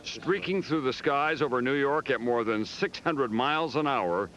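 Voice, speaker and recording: A man's voice: mid-range voice, lively intonation, moderate pace, fairly bright tone, highs cut off, slight background noise. An American man narrating a scripted news documentary as correspondent, with a grave, dramatic storytelling delivery.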